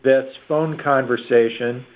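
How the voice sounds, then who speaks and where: The sound is the narrow band of a telephone call. A man with a medium-pitched voice moderates the meeting informally, with halting delivery. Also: steady tone. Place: conference call. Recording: muffled telephone line.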